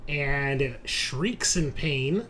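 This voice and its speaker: A man's voice: mid-range voice, lively intonation, very slow, sharp tone. A man running a tabletop roleplaying game as game master, talking informally and explaining rules. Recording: faint music behind.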